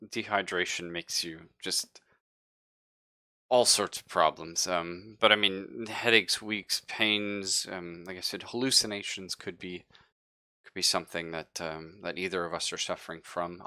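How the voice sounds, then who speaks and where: A man acts out a younger male survivor of a space crew in a sci-fi roleplaying game. He is low-voiced, bright and slow, nervous and evasive, stammering his way through halting explanations. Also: steady tone, dry recording, clean studio sound, full character voice. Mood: explaining, hedging.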